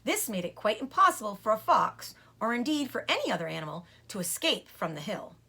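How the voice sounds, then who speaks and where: A woman teacher reading a children's storybook aloud in an expressive way. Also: mid-range voice, a little fast, breathy edge.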